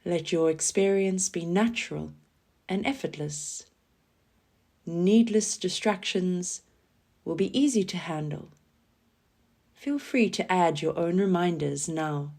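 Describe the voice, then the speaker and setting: A woman leading a scripted guided relaxation meditation in a calm, soothing manner, giving gentle instructions with pauses. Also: fairly low pitch. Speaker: yoga nidra guide.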